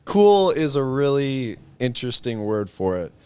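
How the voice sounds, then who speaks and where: A man's voice: mid-range voice, sing-song, a little slow, darkish tone, clean tone. A young man in his late teens chatting casually as a guest, modest and understated. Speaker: guest, high school senior. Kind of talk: casual chat.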